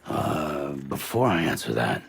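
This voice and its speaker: An actor playing a taciturn, uneasy male courier in a video game, gravelly, terse and hesitant. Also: very deep voice, big pitch swings, a little slow, heavily acted. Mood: guarded, uneasy. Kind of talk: scripted video game dialogue.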